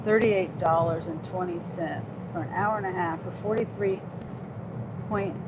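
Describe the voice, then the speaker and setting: A woman's voice: on the low side, fairly even intonation, a little slow, very noisy. A woman in her fifties, a gig delivery driver, chatting casually on a livestream and reading out viewer comments in a rambling way. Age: 50s. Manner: chatty and rambling, with frequent restarts.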